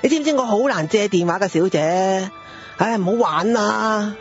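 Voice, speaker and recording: A man's voice: high voice, moderate pace. A male actor plays a harried father in a radio drama, grumbling and sighing with a Hong Kong accent. The recording is dull and lo-fi, with faint music underneath. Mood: exasperated. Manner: sighing, exasperated. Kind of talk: Cantonese radio drama acting. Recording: dull lo-fi audio with background music.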